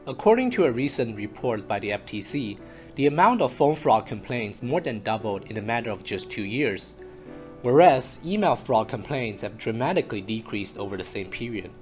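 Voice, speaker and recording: A man narrating a scripted research presentation in a measured, formal way, as if reading. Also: mid-range voice, sing-song, darkish tone. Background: instrumental music.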